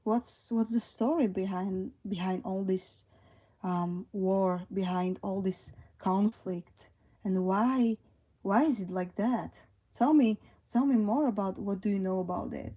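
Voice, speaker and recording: Slow, hesitant woman streamer. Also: medium pitch, warm tone. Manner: hesitant, many fillers. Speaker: livestreamer. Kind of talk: live stream, reading and answering chat.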